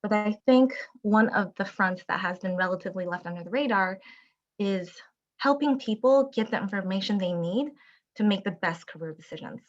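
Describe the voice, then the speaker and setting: A middle-aged woman from Taiwan, a company founder giving an earnest, measured presentation with frequent ums over a video call. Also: medium pitch, muffled recording. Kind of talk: prepared presentation.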